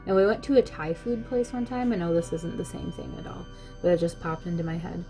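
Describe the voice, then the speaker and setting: A woman's voice: a little high, wildly swooping pitch, brisk, mellow, soft background music. A young adult woman answering questions in a casual vlog, chatty with lots of "like" and frequent laughs. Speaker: vlogger.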